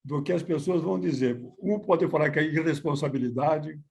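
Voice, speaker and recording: A man's voice: fairly high pitch, fairly quick, creaky, darkish tone. A man in his seventies with a Brazilian accent, a veteran of theatre reminiscing casually and often repeating his words. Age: 70s. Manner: repeats words, informal storytelling. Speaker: veteran theatre producer, main speaker. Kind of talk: casual reminiscing in a group online talk.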